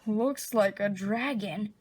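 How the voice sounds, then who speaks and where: A woman voicing excitable, wide-eyed schoolchildren in a storybook, with drawn-out words. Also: medium pitch, slightly rough, pitched below the performer's own voice. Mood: disgusted, puzzled.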